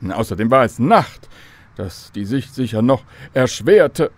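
An actor playing a courteous, old-fashioned, learned professor in a children's radio drama, formal and pedantic. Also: male voice, medium pitch, expressive, measured pace, dry recording. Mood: lecturing.

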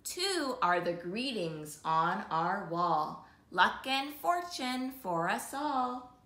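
A woman in her thirties hosting an interactive storytime, reading a picture book expressively and clearly for young children. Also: mid-range voice, sing-song, slow, bright, forceful tone. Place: small living room, seated on a sofa. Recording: roomy indoor sound.

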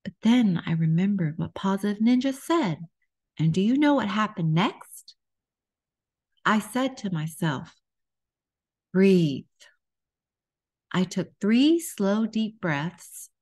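A woman, middle-aged, reading a children's story aloud with expressive, deliberate delivery for young listeners. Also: mid-range voice.

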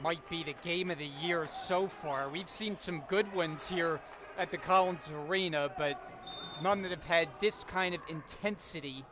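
High-voiced excited play-by-play man. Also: normal speed. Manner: excited, rising to shouts on big plays. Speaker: play-by-play announcer. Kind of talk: basketball play-by-play commentary. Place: college basketball arena. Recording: crowd and court noise behind the commentary.

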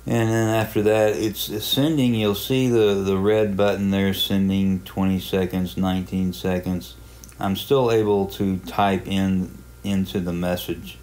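A man giving a casual, unhurried tutorial narration, explaining a hobby software program step by step in plain terms. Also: low-pitched, level tone. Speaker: amateur radio hobbyist presenting a software walkthrough.

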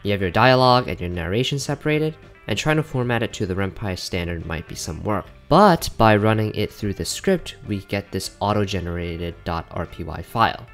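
A man giving a casual, plain-spoken scripted tutorial narration as a software developer explaining a tool. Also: fairly low pitch, animated, a little fast, few pauses. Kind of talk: scripted explanatory narration over screen recording. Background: background music with guitar.

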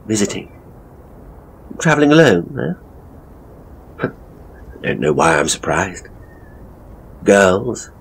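A man's voice: sits low, slight background noise, exaggerated voice, rough recording. A man playing a hapless, seedy ghost tour guide in an audio horror story, with a British accent and a pompous, florid, leering manner. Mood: creepy, awkward.

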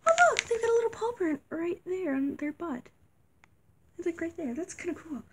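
A young woman vlogger chatting casually while unboxing toys, a little sick, her voice wearing thin, squealing excitedly at surprises. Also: very high-pitched, moderate pace, noisy background. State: slightly sick, voice going. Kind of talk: casual toy unboxing chat. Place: dim bedroom, indoors.

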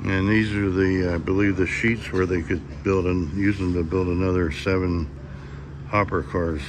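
A man filming a railroad visit, announcing the place and date in a low-key, matter-of-fact way from behind the camera. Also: fairly even intonation, normal speed. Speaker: videographer narrating his own train video. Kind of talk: casual on-camera narration. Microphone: camera mic, close to the person filming. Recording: clear background noise.

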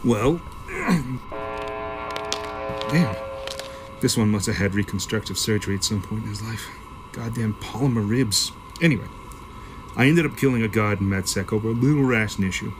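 Gruff low-voiced shopkeeper played by a man. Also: moderate pace, long pauses, over music, natural voice, rough recording. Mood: matter-of-fact, jaded. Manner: gruff, profane, offhand storytelling. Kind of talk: sci-fi audio drama acting.

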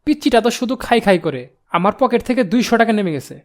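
Goofy cartoon crook played by a man: rapid, swooping delivery, cheeky and grumbling. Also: high-pitched, expressive. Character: grumbling, goofy male kidnapper henchman. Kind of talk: animated cartoon voice acting.

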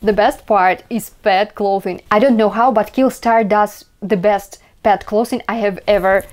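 A woman's voice: mid-range voice, steady tone, a little slow. A young woman from Russia with a Russian accent, chatting casually while unboxing a haul in her bedroom, marvelling at each item. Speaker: vlogger. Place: small bedroom, indoors.

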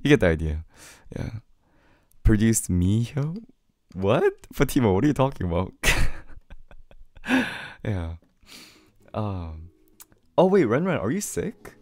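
A young man with a low voice chatting casually on a livestream, reading comments, laughing often and full of fillers. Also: sing-song, slow pace, long pauses, a little mellow, no room sound. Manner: laid-back, laughs often, many fillers. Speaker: streamer. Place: small home room with keyboard. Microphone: studio mic on arm near face.

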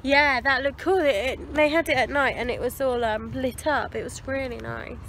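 A woman's voice: high voice, steady tone, normal speed, a bit bright. A playful, giggly woman chatting casually as a mother in a family home video. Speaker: mother filming a family vlog. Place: home kitchen, indoors. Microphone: handheld camera mic at arm's length. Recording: noisy handheld home recording with clicks.

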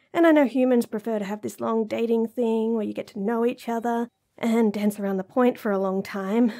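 Woman doing a bashful bunny girl for romance audio roleplay, soft mellow tone, kinda high, stammers and giggles. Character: shy, flustered young female bunny girl, smitten and gushing.

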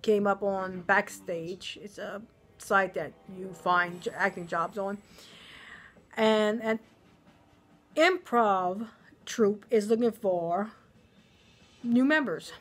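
A woman with a Cajun accent talks to a camera held close in her living room, her voice naturally deep. She rambles through a casual video diary, stopping for ums and correcting herself as she goes. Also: takes it slowly, long pauses. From Southern US. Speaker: vlogger, aspiring actress. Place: living room at home, indoors. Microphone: camera close at face level.

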